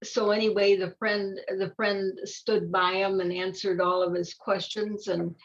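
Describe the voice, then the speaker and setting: An older woman talking informally about a picture book, halting and repeating her words. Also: medium pitch, little pitch movement. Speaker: volunteer story reader. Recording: dull lo-fi audio.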